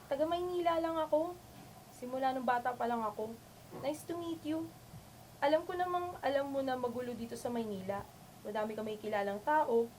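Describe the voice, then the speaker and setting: A woman's voice: sits high, moderate pace, a little mellow, a little hiss or hum, lightly acted, poor audio. A woman playing a friendly, frank young city dormmate in an amateur radio drama, chatty and candid.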